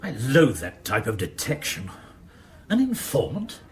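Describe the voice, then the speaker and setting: An actor playing an earnest, moralising but hesitant chief constable in a crime radio drama. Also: male voice, medium pitch, wildly swooping pitch, unhurried. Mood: indignant, earnest. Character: anxious, high-minded, ineffectual male chief constable.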